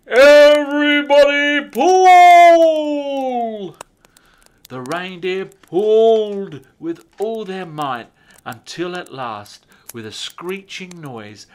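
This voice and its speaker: Male children's story narrator: measured, expressive, slow delivery with lively intonation and lingering words. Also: medium pitch.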